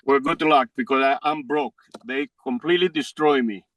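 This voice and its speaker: A man in his sixties with a Greek accent, pleading his case in court in halting second-language English. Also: medium pitch, fairly bright tone, no room sound. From Greece. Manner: halting, non-native phrasing. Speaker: defendant in a civil case.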